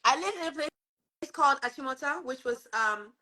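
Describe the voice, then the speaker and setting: A woman's voice: high voice, animated, fairly quick, sharp tone. A woman from Ghana and Canada chatting casually as a guest, talkative and inquisitive. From born in Ghana, grew up partly in Canada. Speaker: panel guest. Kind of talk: casual chat.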